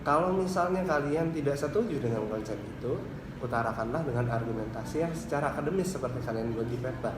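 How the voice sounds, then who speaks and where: A man's voice: medium pitch, quick pace. A man from Indonesia answering interview questions as a university lecturer, explaining things point by point. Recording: echoey room with clear background noise.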